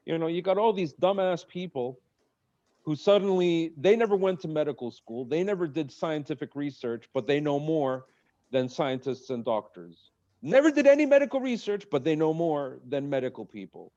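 A male comedian speaks with a dark, mellow tone, sliding from easy chatter into fired-up rants. The audio is a bit dull and lo-fi, as from an online call. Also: a little high, moderate pace. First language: English. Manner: animated, rambling storyteller with rising rants. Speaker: stand-up comedian guest. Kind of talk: conversational interview. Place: in Egypt.

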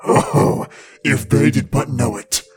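A man voicing a gruff, irritable devil in a storybook reading, grumbling with emphatic delivery. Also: medium pitch, fairly even intonation, takes it slowly, faint music behind, voice pushed down, natural voice. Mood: scornful, knowing. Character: gruff, suspicious, irritable devil, easily angered.

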